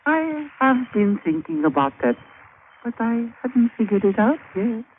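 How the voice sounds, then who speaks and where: Slow, high-voiced sly witch doctor. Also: big pitch swings. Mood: sly, amused. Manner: clipped broken English, slow and sardonic. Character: sly, scheming male island witch doctor, menacingly amused. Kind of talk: old-time radio drama acting. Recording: tinny, faintly hissy old radio recording.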